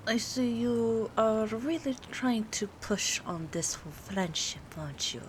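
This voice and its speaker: A woman acting an aloof, teasing old vampire in an ASMR roleplay, speaking softly and formally with hesitant pauses, often near a whisper. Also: a little high, expressive. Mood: reluctantly warming. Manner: formal, hesitant, haughty phrasing with drawn-out pauses. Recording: clear background ambience noise. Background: rain, city ambience, soft music.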